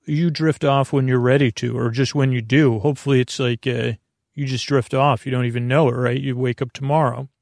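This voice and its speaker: Male sleep-podcast host, gravelly, rambling and self-interrupting, dry close sound. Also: fairly even intonation, a little mellow, dry recording. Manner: meandering, self-interrupting, tangents; low-key, unhurried delivery. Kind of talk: rambling bedtime monologue.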